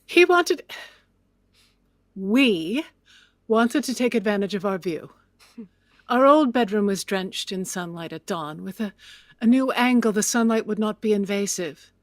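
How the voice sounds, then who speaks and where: An actress playing a proud, aggrieved older widow in an audio drama, speaking in a formal, imperious way. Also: female voice, mid-range voice, expressive, unhurried, pauses often, no room sound, clean studio sound, close to an everyday voice. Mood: wistful. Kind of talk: audio drama acting, period dialogue.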